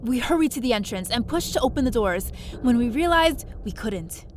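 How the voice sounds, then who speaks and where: Audio drama lead: quick-witted young-sounding heroine played by a woman, high and animated, narrating and acting dramatically. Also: noisy background, natural voice. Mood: tense narration. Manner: expressive, dramatic. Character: plucky, quick-thinking woman space adventurer telling her story.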